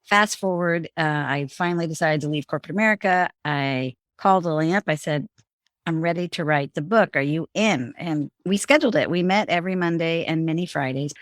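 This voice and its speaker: A woman's voice: deep voice, a little fast, dry recording, clean studio sound. A middle-aged woman, a guest on an interview show, recounting her career in a conversational way with frequent restarts. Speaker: guest, former bank executive. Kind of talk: storytelling, interview answers.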